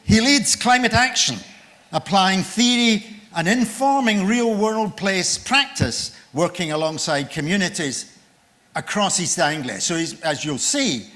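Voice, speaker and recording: An older man with a loud voice, chairing a conference session and formally introducing a guest speaker after a joking opening. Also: a little high. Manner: jokey, then reads out a guest's biography. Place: conference room.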